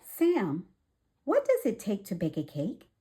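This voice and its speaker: A woman's voice: mid-range voice, wildly swooping pitch, a little slow, warm tone. A woman voicing an eager, polite young female raccoon in a children's storybook, with earnest, sing-song questions. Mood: eager, friendly.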